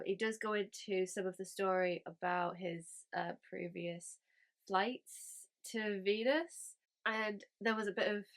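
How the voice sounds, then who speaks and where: A woman's voice: mid-range voice, a bit bright. A woman who is ill with a cold, chatting casually about a story she read, rambling with many fillers and restarts. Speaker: book review host. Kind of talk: casual book review chat.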